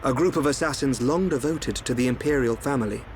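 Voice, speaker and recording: A man playing a calm, enigmatic royal seer in a video game, speaking in a measured, formal, cryptic way. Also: lightly acted. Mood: measured, grave. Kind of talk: video game cutscene acting. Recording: ambient rumble behind the voice.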